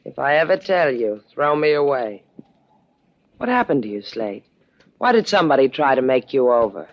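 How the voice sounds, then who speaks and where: Low-voiced, wry woman sailor. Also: very animated, moderate pace, heavily acted. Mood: tender, concerned. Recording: dull vintage 1950s radio recording.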